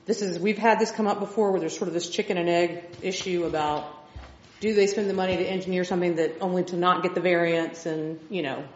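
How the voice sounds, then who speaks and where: A woman on a city's planning staff, patiently and clearly explaining the code at a public hearing in a meeting hall. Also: on the low side, steady tone, fast, a little hiss or hum. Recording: roomy, dull meeting-hall recording.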